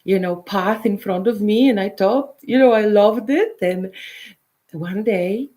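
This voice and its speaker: A middle-aged woman from Poland with a Polish accent, a counselor hosting a show and leading a guided meditation before telling her own story, speaking softly and sometimes dropping to a whisper. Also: medium pitch. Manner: soft, unhurried, drops to a whisper at times. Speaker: intuitive counselor and show host.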